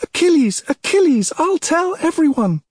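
A man voicing a headstrong, desperate young princess in a story, shrill, insistent and emotional. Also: sing-song, measured pace, dark tone, breathy edge, no room sound, studio quality, exaggerated voice. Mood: furious screaming.

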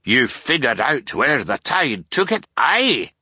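A man voicing a blustering, excitable ship captain who shouts and tacks "aye" onto everything. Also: medium pitch, slow pace, slightly rough, dry recording, clean studio sound, pitched above the performer's own voice, full character voice. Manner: loud, exclaiming, tacks on "aye" constantly.

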